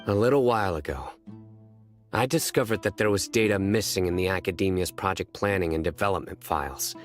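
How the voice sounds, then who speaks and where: A man playing a stern, dignified general in a video game, speaking formally, gravely and deliberately. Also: sits low, faint music behind, close to an everyday voice. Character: stern, dignified male general and law enforcer.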